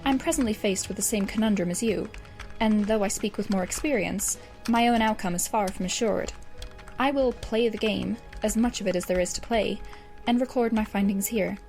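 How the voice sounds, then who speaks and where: A woman's voice: medium pitch, normal speed, a little mellow, faint music behind, close to an everyday voice. A woman playing a wry, erudite teenage girl, reading out her doomsday game guide in an audio drama with a verbose, sardonic manner. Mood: dry, grave. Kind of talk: scripted audio drama monologue.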